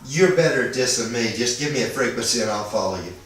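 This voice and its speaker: A man's voice: mid-range voice, lively intonation, fast talker, fairly bright tone. A man making casual two-way radio calls as an amateur operator, speaking in a hesitant, apologetic way. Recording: echoey, distant, noisy internet radio link.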